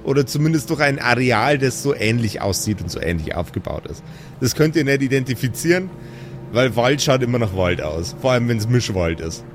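A man's voice: medium pitch, expressive, brisk, over music. A man improvising narration as game master of a fantasy tabletop audio play, drawing out his scene descriptions suspensefully.

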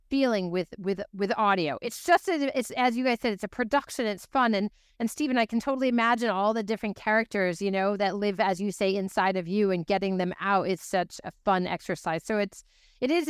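Female podcast host, smooth clear voice, animated and chatty, dry sound. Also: medium pitch, no echo. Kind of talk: casual interview conversation.

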